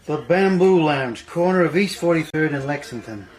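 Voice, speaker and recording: An actor playing a surly New janitor in a radio drama, curt and sarcastic. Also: male voice, on the high side, lively intonation, moderate pace, a little mellow, close to an everyday voice, poor audio.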